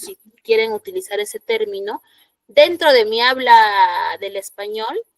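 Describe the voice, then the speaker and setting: Give me a woman teacher with a Peruvian accent and a bright, raised pitch. She lectures in a dry, close sound and keeps checking her students are following. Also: dry recording. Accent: Peruvian Spanish. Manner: explanatory, frequent "¿no?" checks, rhetorical questions to students. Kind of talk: classroom lecture.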